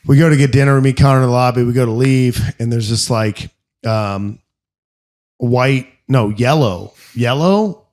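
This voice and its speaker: A man at a middling pitch spins a loose, animated story for his co-hosts, stacking up fillers and restarts as he goes. His delivery is casual podcast chat. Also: moderate pace. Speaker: podcast co-host.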